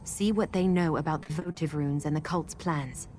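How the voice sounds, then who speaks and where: An actress playing a guarded, urgent masked woman who protects people from cultists in a fantasy video game, speaking in an earnest, measured way. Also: low voice, a little mellow, a little hiss or hum, close to an everyday voice. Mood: urgent, businesslike. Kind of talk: video game character dialogue.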